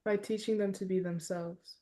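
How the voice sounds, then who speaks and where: A woman's voice: mid-range voice, fairly even intonation, moderate pace, warm tone. A young woman, a college freshman, answering catechism questions promptly in a religious class. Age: young adult. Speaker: class student.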